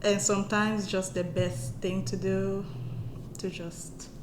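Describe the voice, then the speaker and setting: A woman in her twenties from Cameroon, a guest in a casual interview, speaking softly and hesitantly with many filler words. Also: medium pitch, level tone, slow pace, echoey room, noisy background. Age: 20s.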